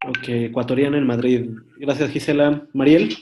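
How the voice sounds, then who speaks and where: A man leading an online workshop on a video call, briskly calling on each participant in turn. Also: medium pitch, fairly even intonation, very noisy. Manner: brisk, calls on participants one by one. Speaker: workshop instructor.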